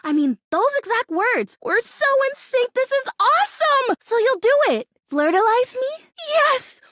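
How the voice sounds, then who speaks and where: A woman's voice: extremely high pitch, sing-song, unhurried, a bit bright, no echo, clean studio sound, heavily acted. A woman voicing a bubbly, naive, stubbornly hopeful fake houseplant in a video game, chatty and dramatic in her delivery. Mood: excited. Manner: perky, dramatic, emphatic, chatty. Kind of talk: animated video game character acting.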